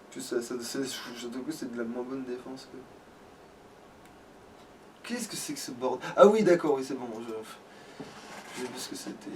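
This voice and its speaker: A man giving casual gaming commentary as a let's play host, swearing freely and hesitantly thinking out loud. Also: medium pitch, normal speed, long pauses, distant mic, slight background noise, poor audio.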